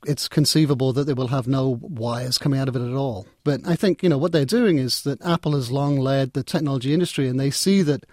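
A man's voice: medium pitch, darkish tone, no room sound, studio quality. A man talking as an interview guest in a conversational, discursive way, often repeating and reworking his words. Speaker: interview guest, technology writer and editor.

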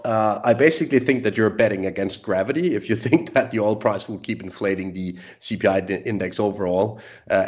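A man from Denmark, a market strategist, speaking with many hesitations and chuckling asides. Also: low-pitched. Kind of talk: conversational market discussion.

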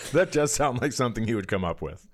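An American man with a mid-range voice chats as a comedy podcast co-host. He tells loose, profane stories with a teasing edge and is quick to laugh along. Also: medium pitch. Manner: crude, profane, teasing banter; laughs readily while telling stories. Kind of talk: casual comedic storytelling.

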